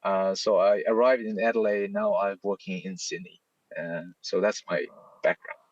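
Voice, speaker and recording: A man in his thirties with a Chinese accent, a guest talking with lively emphasis and frequent restarts. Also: low voice, moderate pace, highs cut off. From China. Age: 30s. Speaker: guest, software library author. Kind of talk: casual interview chat.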